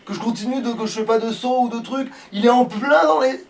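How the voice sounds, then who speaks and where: A man's voice: very high-pitched, fairly quick, gravelly, a little mellow. A man doing casual let's play commentary in a grumbling, sweary way. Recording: noisy, distant microphone.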